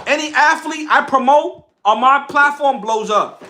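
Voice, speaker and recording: A man's voice: very high-pitched, a little slow, sharp tone. A man giving an impassioned, boastful livestream monologue, speaking emphatically and repeating phrases for effect. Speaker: social media content creator on a livestream.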